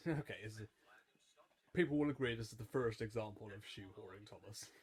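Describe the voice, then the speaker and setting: Young man rambling, slightly husky voice. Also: lowish voice, brisk. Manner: rambling, stop-start, repeats phrases. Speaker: YouTube reviewer. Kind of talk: casual rewatch commentary on a children's show.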